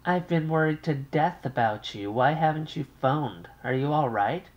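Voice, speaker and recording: A man's voice: on the high side, lively intonation, moderate pace, highs cut off, pitched above the performer's own voice, natural voice. A man reading aloud, voicing a worried middle-aged mother who keeps pressing and interrupting with her questions. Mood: anxious.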